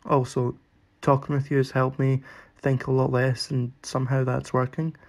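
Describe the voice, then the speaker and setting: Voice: mid-range voice, level tone, moderate pace, creaky, close to an everyday voice. An actor playing an awkward, anxious, self-deprecating young introverted man in short-story dialogue, hesitant and dry. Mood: awkward, sincere.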